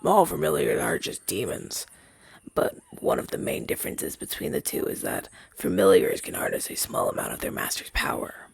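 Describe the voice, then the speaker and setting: A man's voice: fairly low pitch, wildly swooping pitch, normal speed, gravelly, slightly rough, no room sound, full character voice. A young man playing a chatty, down-on-his-luck crow demon, once a witch's familiar, in a hesitant, stammering roleplay audio drama. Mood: awkward, explaining.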